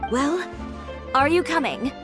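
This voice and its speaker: A woman playing a blunt, self-assured young troublemaker in a video game, curt and deadpan. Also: high voice, slow pace, music in the background. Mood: teasing, brisk. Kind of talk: video game character acting.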